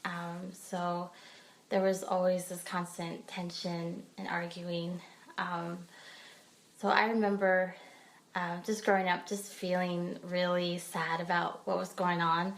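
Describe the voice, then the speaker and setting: Low, flat-voiced woman telling her story. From Pennsylvania, United States. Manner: hesitant, many pauses and fillers. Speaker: guest speaker. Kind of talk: personal testimony in a podcast interview.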